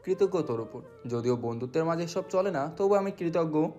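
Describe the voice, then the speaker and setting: Guy as a quiet grateful young groom, soft and earnest, voice a bit raised. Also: on the high side, lively intonation, brisk, highs cut off, faint music behind, lightly acted. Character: grateful newlywed young man, humble friend.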